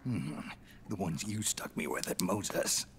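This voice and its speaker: A man playing a gruff, prematurely aged soldier in a video game cutscene, terse and world-weary, with a gravelly, whispery growl. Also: low-pitched, slow pace, slight background noise. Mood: gruff, impatient. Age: older.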